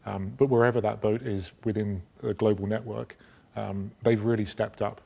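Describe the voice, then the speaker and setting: A man answering interview questions about a yacht dealer network, measured, with frequent ums and restarts. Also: on the low side, fairly even intonation, gravelly, dark tone, long reverb tail, dull audio. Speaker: company spokesman.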